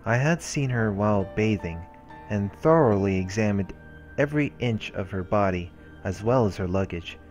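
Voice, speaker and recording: A man reads the narration of a visual novel aloud as a let's play host. His voice sits on the low side, and faint music plays behind him. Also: expressive, moderate pace, darkish tone. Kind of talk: reading game narration aloud.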